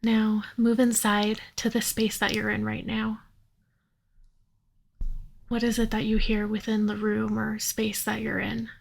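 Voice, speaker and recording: A woman leading a guided yoga nidra meditation in a soothing, unhurried manner, leaving long pauses. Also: medium pitch, completely flat delivery, creaky.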